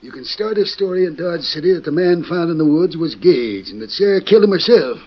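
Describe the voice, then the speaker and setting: A cantankerous older ranch boss in an old radio western. He drawls in a high Western American voice that swoops with sarcasm, on a muffled vintage recording. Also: a little fast. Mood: shrewd, thoughtful. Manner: folksy, cantankerous, expressive.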